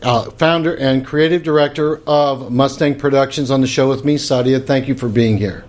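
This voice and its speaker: A man's voice: mid-range voice, fairly even intonation, normal speed, a little mellow. Guy hosting a business podcast, direct questions, upbeat. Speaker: podcast host. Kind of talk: interview questions.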